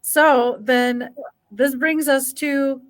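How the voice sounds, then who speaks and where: A woman's voice: sits high, steady tone. A woman hosting a podcast interview, chatting casually and restarting her phrases often. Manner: friendly, chatty, halting restarts. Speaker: podcast host.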